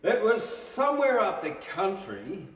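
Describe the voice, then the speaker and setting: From some way off in a hall with long reverb, a man recites a bush ballad. He takes his time over the verse, delivering it slowly and with emphasis like a storyteller. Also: high voice, wildly swooping pitch. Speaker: poetry reader. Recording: echoey hall, distant mic.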